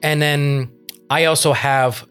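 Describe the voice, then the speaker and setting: A man's voice: on the high side, slow pace, clear, resonant tone, soft background music. A man from France, co-hosting a casual podcast chat and swearing freely as he tells stories. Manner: swears freely, animated storytelling. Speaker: co-host.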